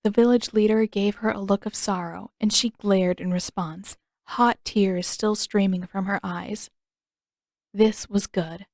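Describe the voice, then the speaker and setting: A woman's voice: mid-range voice, steady tone, measured pace, a little mellow, no room sound. A woman reading scripted story narration expressively, as an audiobook narrator close to the mic.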